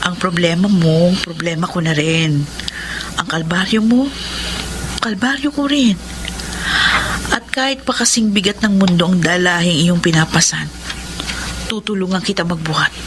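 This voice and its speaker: A woman's voice: lowish voice, steady tone, normal speed, pauses often, background noise, lightly acted. A woman with a Filipino accent playing a devoted, steadfast young sweetheart, reassuring and solemn in a melodramatic radio drama. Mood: devoted, resolute.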